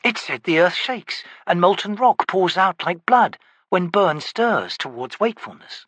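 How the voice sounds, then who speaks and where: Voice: medium pitch, very animated, a little slow, a bit bright, no room sound, pitched above the performer's own voice. A narrator voicing a wary, impatient male wizard questioning a witch. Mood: probing.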